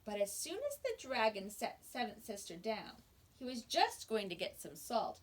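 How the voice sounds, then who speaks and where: A woman teacher reading a picture book aloud to young children with expressive storytelling and dramatic pauses. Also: medium pitch. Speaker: kindergarten teacher.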